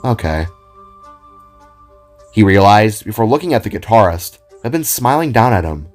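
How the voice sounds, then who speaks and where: Animated man narrating an audio drama. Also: lowish voice, a little fast. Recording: music behind the voice.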